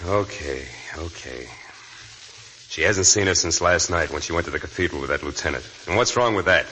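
An uneasy newspaper reporter in an old radio thriller. His voice is deep and hard-edged, his delivery guarded and placating, with faint broadcast hiss behind it. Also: expressive, a little fast, bright, forceful tone. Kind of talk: 1940s radio drama acting.

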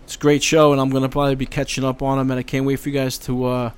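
A man guesting on a podcast, talking casually and haltingly, often repeating words. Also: mid-range voice, flat delivery, smooth. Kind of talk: casual chat.

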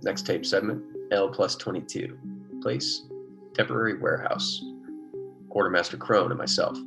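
Prominent music sits behind a low-pitched American biologist in an old radio play. He is earnest and wryly patient, measured and clinical, like a man keeping a careful survey log. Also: gravelly. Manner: measured clinical dictation alternating with easygoing explanation. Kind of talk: golden age American radio drama acting.

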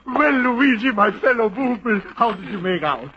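A blustery know-it-all. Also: male voice, sits high, lively intonation, moderate pace. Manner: blustering, jokey, mangles idioms. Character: blustery German immigrant man, bossy know-it-all. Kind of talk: 1940s radio acting. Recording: dull old radio broadcast with clear hiss.

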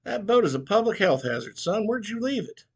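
A man's voice: high voice, very animated, a little fast, darkish tone, slightly rough, voice pushed up, heavily acted. A man voicing a stern Baptist preacher.

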